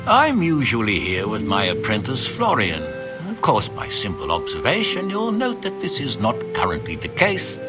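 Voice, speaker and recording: A man's voice: mid-range voice, wildly swooping pitch, moderate pace, hardly any pauses, over loud music. An actor playing a learned older master engraver in a video game, wordy and dryly sarcastic about his apprentice. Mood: dry, patient. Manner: verbose, dryly sarcastic, ornate phrasing. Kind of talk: video game dialogue acting.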